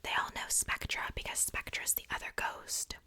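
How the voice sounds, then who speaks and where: A young adult woman speaking in a breathy, unvoiced whisper, doing a casual ASMR show-and-tell in a soft, rambling, unhurried way. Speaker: ASMR video creator.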